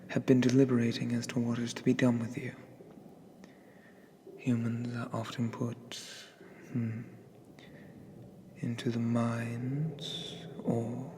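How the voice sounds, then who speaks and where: A man voicing a sadistic, condescending dark elf captor in a breathy half-whisper, deliberate and softly menacing, for an ASMR roleplay. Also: mid-range voice, noisy background, close to an everyday voice.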